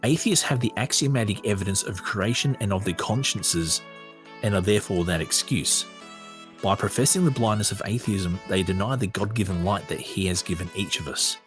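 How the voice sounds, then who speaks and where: A man with an Australian accent and a husky voice, hosting a podcast, reading aloud and commenting off the cuff in a conversational way. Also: fairly low pitch, brisk, soft background music. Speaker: podcast host.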